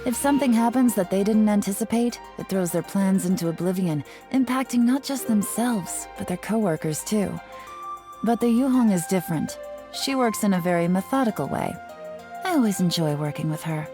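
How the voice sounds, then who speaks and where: A woman voicing a cool, shrewd, teasing intelligence agent in a video game, speaking in a composed, measured, sly manner. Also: mid-range voice, expressive, over music, close to an everyday voice. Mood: composed, appraising. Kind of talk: scripted video game character monologue.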